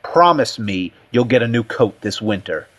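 A man's voice: on the low side, animated, normal speed, a bit bright. A man voicing an unhinged academic in a story, emphatic and oddly flippant in manner. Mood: stern. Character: unhinged male academic, pleading turning eerily flippant.

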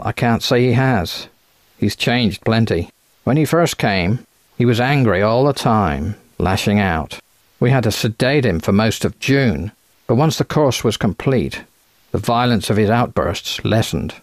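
A man's voice: fairly low pitch, expressive, a little slow, no echo. A man voicing an eager, chatty American physician with a quacking voice and folksy, clipped phrases in an audiobook. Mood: earnest, folksy. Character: thin, eager American physician running a sanitarium.

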